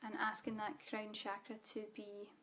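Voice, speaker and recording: A Scottish woman leading a live online spiritual training, speaking softly and calmly, guiding listeners with frequent ums. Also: medium pitch, moderate pace. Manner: soft guided-meditation delivery with fillers. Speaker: spiritual teacher.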